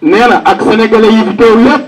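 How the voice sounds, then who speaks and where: A man's voice: high voice, a little fast, recorded from a distance, poor audio. A Senegalese man announcing condolences to mourners, relaying a message at an outdoor gathering.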